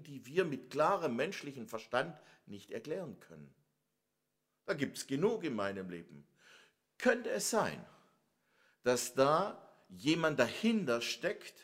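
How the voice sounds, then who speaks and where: Slow-speaking, bright-voiced Swabian preacher. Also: male voice, medium pitch. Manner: emphatic, asks the audience rhetorical questions. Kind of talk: sermon.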